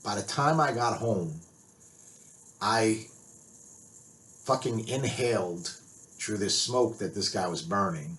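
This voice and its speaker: A middle-aged biker with a deep, low voice spins a road story straight to the camera. He rambles, circles back and swears nearly every sentence, blunt and unpolished. It sounds like a casual tale told at home. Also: lively intonation, slow pace, long pauses, fairly bright tone. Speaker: biker and author.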